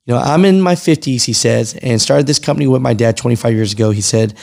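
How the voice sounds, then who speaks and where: A man's voice: mid-range voice, quick pace, vocal fry, a little mellow. A man in his thirties hosting an informal news report, conversational and emphatic, reading and commenting into a close microphone. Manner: conversational, emphatic, often tags points with "right" and "you know". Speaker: host of a trucking news channel. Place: small furnished room at home, indoors. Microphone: close broadcast microphone on a boom arm.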